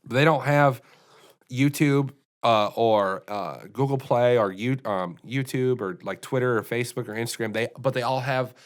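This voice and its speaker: A man's voice: medium pitch, moderate pace. An American man co-hosting a podcast, chatting casually and rambling with many restarts and fillers. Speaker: podcast co-host. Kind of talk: casual chat.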